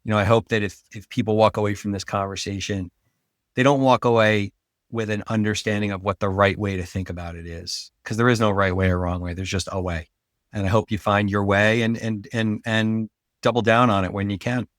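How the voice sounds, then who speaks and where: Low-voiced middle-aged man, close and dry. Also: fairly even intonation, a little fast, dry recording. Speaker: podcast guest, brand marketing expert. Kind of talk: casual interview conversation.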